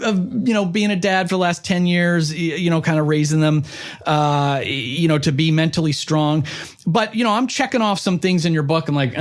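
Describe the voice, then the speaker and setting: A middle-aged man with a fairly high voice hosts an interview. He talks casually and informally, chatting about himself and peppering his sentences with filler phrases. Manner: chatty, self-referential, informal with frequent 'like' and 'you know'. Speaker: podcast interviewer, host.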